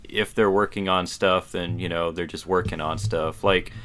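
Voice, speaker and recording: A man's voice: deep voice, fairly even intonation, normal speed, a bit bright. A man co-hosting a podcast in casual chat, laid-back and colloquial, often hedging what he says. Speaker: podcast co-host.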